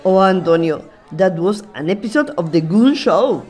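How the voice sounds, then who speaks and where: A woman playing a sharp-tongued, deadpan and menacing village woman in a scripted comedy radio play. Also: on the low side, moderate pace, a faint crowd. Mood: gloomy, dry. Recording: noisy, with clicks and mains hum.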